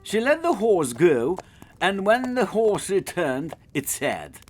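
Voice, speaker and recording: A man's voice: high-pitched, huge pitch swings, slow, soft background music. A man reading a scripted children's story as its narrator.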